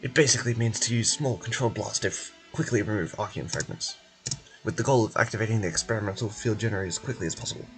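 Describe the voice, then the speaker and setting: A man's voice: lowish voice, fairly bright tone, faint music behind. Man playing a formal, evasive institute official. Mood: explanatory. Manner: measured, polite, officious. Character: formal, evasive male research institute official in fantasy game.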